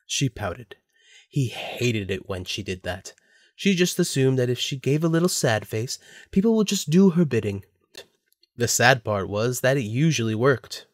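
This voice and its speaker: A man's voice: mid-range voice, very animated, measured pace, no room sound, studio quality. A man narrating a scripted story for an audio drama.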